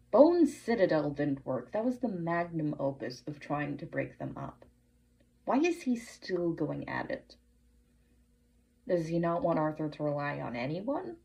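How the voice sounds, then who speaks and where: A woman giving prepared commentary as a podcast listener, measured and deliberate, posing pointed questions. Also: lowish voice, big pitch swings, gravelly, mellow, low-quality recording. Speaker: listener sending in a voice message.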